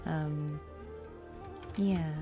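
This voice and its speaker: Low-voiced woman chatting on stream. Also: steady tone, drawn-out words, mellow, faint music behind. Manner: chatty, thinks aloud, frequent fillers. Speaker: voice actor streaming a game. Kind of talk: casual stream chat.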